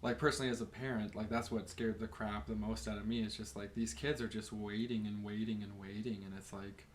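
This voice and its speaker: A man's voice: lowish voice, level tone, brisk, slight background noise. A man co-hosting a casual film discussion in loose, hesitant phrases padded with filler words. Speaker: podcast co-host.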